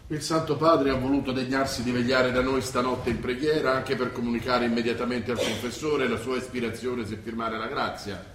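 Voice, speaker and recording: An actor playing a wily, unctuous older cardinal in an Italian radio drama, measured and preachy. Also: male voice, medium pitch, steady tone, close to an everyday voice. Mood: coolly persuasive. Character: wily, unctuous high-ranking cardinal, male, older, coolly manipulative. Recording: echoey stage recording, distant mic, hiss.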